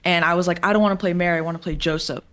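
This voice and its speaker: Raspy woman telling her story. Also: on the low side, steady tone, rapid-fire, fairly bright tone. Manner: hesitant, filler-heavy storytelling. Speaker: guest in a clip. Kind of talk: personal testimony in conversation.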